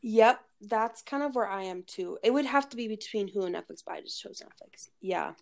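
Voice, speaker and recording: A woman's voice: mid-range voice, animated, a little fast, vocal fry. A woman co-hosting a podcast in bubbly, gushing casual chat, sometimes groping for words. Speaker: podcast co-host.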